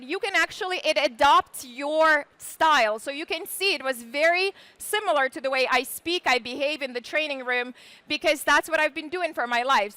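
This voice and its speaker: Bright high-voiced woman presenting. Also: no room sound. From Ukraine. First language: Ukrainian. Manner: chatty and enthusiastic, with casual fillers. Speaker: startup founder presenting. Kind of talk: live presentation to an audience.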